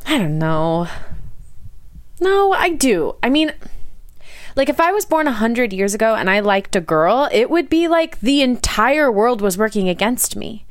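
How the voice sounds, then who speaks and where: A woman playing a gentle, thoughtful sixteen-year-old girl with an American accent, talking casually and a little hesitantly in an audio drama. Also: a little high, big pitch swings, measured pace. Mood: pensive, guilty. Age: teenager. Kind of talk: naturalistic audio drama dialogue.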